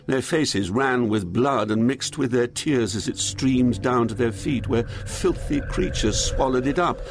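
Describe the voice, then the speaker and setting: An actor playing a poet who recounts his journey through Hell in sombre, measured literary narration, atmospheric noise behind him. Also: mid-range voice, close to an everyday voice. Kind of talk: dramatised audiobook, literary first-person narration.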